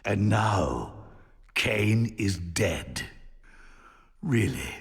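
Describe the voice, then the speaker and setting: A man playing a smug, fanatical holy commander in a video game, mocking and grandiose, with a measured, gravelly delivery. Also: fairly low pitch, expressive, full character voice. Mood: gloating. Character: smug, pompous male fanatical holy commander, cold villain. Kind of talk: theatrical video game acting. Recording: long hall reverb.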